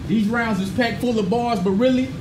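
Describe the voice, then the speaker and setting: A man's voice: very high voice, normal speed, reverberant, background noise. A young man from Arkansas rapping a confident, taunting battle rap verse in a small crowded room, recorded by a far camera. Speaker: battle rapper.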